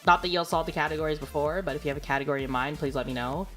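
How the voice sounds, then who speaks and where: A woman's voice: deep voice, rapid-fire, fairly bright tone, soft background music. A young adult woman streaming, chatting casually and rambling with lots of fillers and giggles. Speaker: VTuber streamer. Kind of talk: casual livestream chat.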